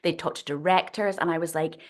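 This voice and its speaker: A woman's voice: low-pitched, steady tone, a little fast. A woman writer being interviewed, talking in a chatty, digressive way and laughing often. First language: not Swedish. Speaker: guest writer. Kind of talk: casual interview conversation.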